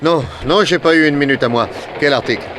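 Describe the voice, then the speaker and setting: An actor playing a shrewd, brisk and cautious private detective in a French 1960s radio drama. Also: male voice, on the high side, close to an everyday voice. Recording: noisy old radio recording.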